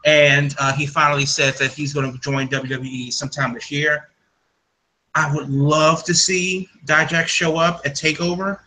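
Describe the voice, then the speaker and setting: A man's voice: a little high, flat delivery, normal speed, bright, forceful tone, a little hiss or hum, low-quality recording. A man hosting a casual podcast, rambling through news with many fillers and restarts. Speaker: podcast host. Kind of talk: casual wrestling news chat.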